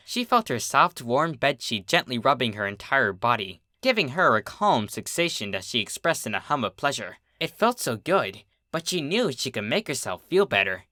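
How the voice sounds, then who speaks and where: A narrator reading a scripted story aloud in an expressive storytelling style. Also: medium pitch, moderate pace, hardly any pauses, dry recording, studio quality. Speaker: fan fiction narrator.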